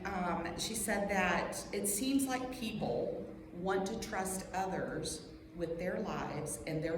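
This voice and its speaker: Woman doing a workshop talk, earnest n chatty, short phrases w pauses, big echoey room, noisy background. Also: medium pitch. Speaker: workshop presenter at a church women's meeting. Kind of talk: informal prepared talk to a group. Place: large echoey indoor room. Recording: echoey hall with clear background noise.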